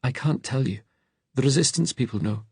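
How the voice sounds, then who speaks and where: A man's voice: medium pitch, lively intonation, brisk, husky, dry recording, voice pushed up. A man voicing a frightened, exhausted fugitive in an audiobook, pleading and shaken in manner. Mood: guarded, anxious.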